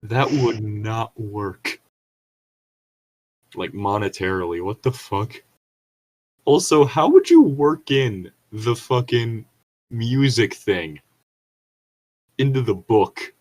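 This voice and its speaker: A man's voice: lowish voice, big pitch swings, takes it slowly, pauses often, a little mellow. A man giving casual commentary and reading aloud as a co-host, in a rambling, incredulous, sweary manner. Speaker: co-host reading and reacting to online horror stories.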